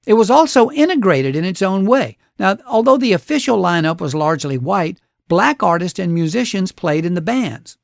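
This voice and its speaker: A man's voice: high-pitched, lively intonation, normal speed, gravelly, few pauses, no echo, clean studio sound. A man hosting a radio show, narrating a scripted story with folksy, dramatic phrasing.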